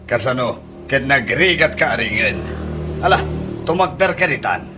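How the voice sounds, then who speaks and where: A man's voice: medium pitch, animated, moderate pace, background noise, close to an everyday voice. A man with an Ilocano Filipino accent playing a grumbling, advice-giving household elder in a comedy radio drama. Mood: exasperated. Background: background music.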